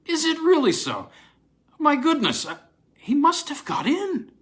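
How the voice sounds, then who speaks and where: A man reads the part of an anxious, excitable university don from a storybook. He stammers with eagerness, his pitch jumping high and swinging about. The tone is bright and tense. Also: a little slow, exaggerated voice. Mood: astonished, excited.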